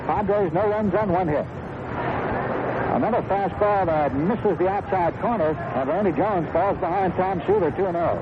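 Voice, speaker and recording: A man's voice: high-pitched, normal speed, dull audio, heavy background noise. A man with an American accent doing live baseball commentary as a play-by-play announcer on a vintage radio broadcast. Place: stadium broadcast booth.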